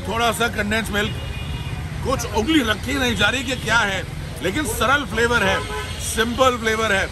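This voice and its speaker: A man's voice: sits high, background noise. A middle-aged man hosting a food vlog, chatting and joking as he describes the food on an outdoor market street. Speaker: food show host. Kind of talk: casual food vlog chat. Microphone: phone camera at close range. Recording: outdoor street crowd behind.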